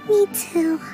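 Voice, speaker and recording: A woman's voice: very high-pitched, heavily acted. A woman voicing a young, polite and composed shrine leader in a video game.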